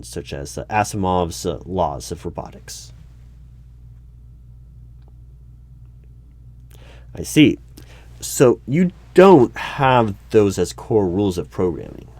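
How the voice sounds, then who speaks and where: A man playing a wary, probing hiring manager in a one-sided audio roleplay, speaking haltingly with stammers and repeats. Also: low voice, darkish tone, no echo, heavily acted. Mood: guarded questioning. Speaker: hiring manager at a secret agency. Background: computer keyboard typing.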